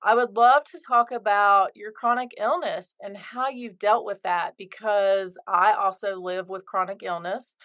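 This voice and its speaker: A woman's voice: medium pitch, steady tone, unhurried, few pauses, fairly bright tone. Upbeat woman hosting a podcast. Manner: upbeat and enthusiastic. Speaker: podcast host.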